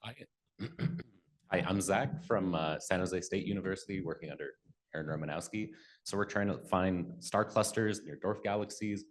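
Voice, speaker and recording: A young man, a student, gives a short conference flash talk. His voice sits very low, and he speaks in a relaxed way that trails off softly at the ends of phrases. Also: normal speed. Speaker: student presenter.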